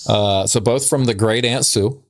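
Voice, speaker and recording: Low-voiced man, casual podcast co-host. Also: a little slow. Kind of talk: casual banter and reading old letters aloud.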